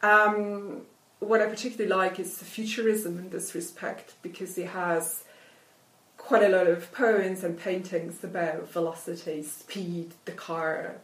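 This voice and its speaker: A woman's voice: on the low side, steady tone, a little slow, a bit bright, recorded from a distance. A woman whose first language is Italian, talking about literature in an interview, thoughtful and hesitant, with many fillers and restarts. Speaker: literature academic. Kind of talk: conversational interview. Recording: echoey room, off-mic.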